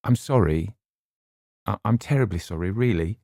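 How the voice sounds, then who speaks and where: A man's voice: on the low side, moderate pace, vocal fry, dark tone, no echo, clean studio sound, voice pushed up. A man voicing an earnest, fragile young woman who stammers and pleads, in an audiobook reading. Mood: pleading.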